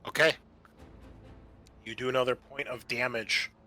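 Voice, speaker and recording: Bright-voiced man running a tabletop game. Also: medium pitch, steady tone, unhurried, soft background music. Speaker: game master. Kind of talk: narrating and refereeing a tabletop roleplaying game.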